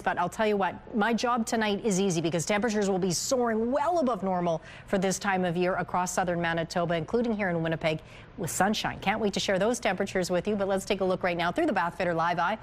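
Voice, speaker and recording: A woman's voice: mid-range voice, slight background noise. A woman with a Canadian accent giving a chatty, upbeat, folksy weather forecast on television. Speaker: TV weather presenter.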